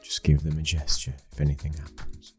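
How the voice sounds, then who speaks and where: A very deep British voice from a man acting as a young mafia boss's son, controlling yet tender. He speaks in an intimate, commanding murmur, in the style of an ASMR boyfriend roleplay. Faint music plays behind him. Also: completely flat delivery, fairly quick. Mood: hurried, firm.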